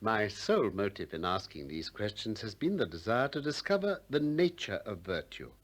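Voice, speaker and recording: A courteous but relentless philosopher in a radio play. His pitch swings widely through measured, articulate questions. He presses each point with insistent care. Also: big pitch swings. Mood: reflective, earnest. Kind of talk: 1960s radio drama acting of philosophical dialogue.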